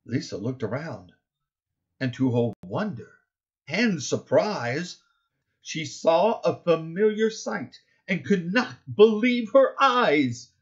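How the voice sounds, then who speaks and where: A middle-aged man reading his own children's picture book aloud, expressively and deliberately, stressing key words in the rhymes. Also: on the high side, expressive, highs cut off. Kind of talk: expressive children's storybook narration.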